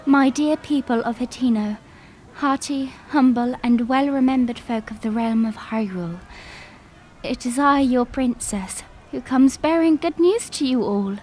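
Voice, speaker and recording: A woman's voice: high voice, unhurried, darkish tone, a little hiss or hum. A woman playing a young princess addressing her people in an audio drama, formal, earnest and declamatory. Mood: hearty, strained. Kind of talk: audio drama acting, oratorical speech.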